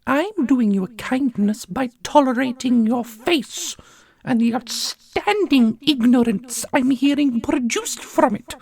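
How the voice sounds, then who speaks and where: A man voicing a defiant, sharp-tongued companion of a dark prince in a fantasy audio roleplay, with an insolent manner. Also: extremely high pitch, animated, creaky, voice pushed up, exaggerated voice. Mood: sarcastic. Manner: quick, sarcastic, insolent.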